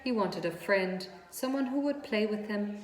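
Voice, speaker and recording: A woman narrates a children's storybook with deliberate, expressive phrasing at a medium pitch. Her voice rings in an echoey room with clear background noise. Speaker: storybook reader.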